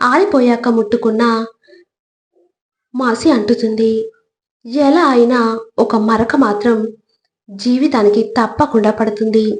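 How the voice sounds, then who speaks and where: A woman reading scripted motivational narration in a deliberate, instructive manner, in short measured phrases. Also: fairly high pitch, a little mellow. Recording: echoey, reverberant voice track.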